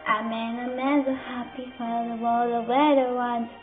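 A woman singing a slow, soft pop song cover. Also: fairly high pitch, level tone. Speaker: cover singer. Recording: tinny phone-like sound with faint backing music.

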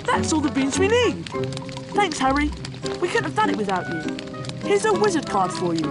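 High, cheeky schoolboy prankster. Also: female voice, huge pitch swings, normal speed. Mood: cheeky, grateful. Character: friendly, conspiratorial schoolboy prankster in a wizard game. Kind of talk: video game dialogue. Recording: loud music over the voice.

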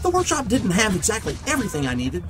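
An actor in an audio drama playing an eccentric, eager genius mechanic in a wheelchair, wordy and precise. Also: male voice, high voice, wildly swooping pitch, fast, music in the background. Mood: proud, eager.

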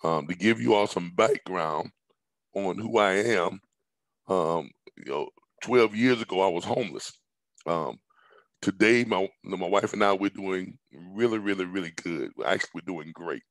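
A middle-aged man with a hoarse, somewhat low voice pitches a business idea from his home over a headset on a video call. He rambles, stacks up repeated words and fills gaps with ums. The sound is close and dry. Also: a little slow, dry recording. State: hoarse, recovering from illness. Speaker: business presenter. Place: indoors at home, large room with staircase.

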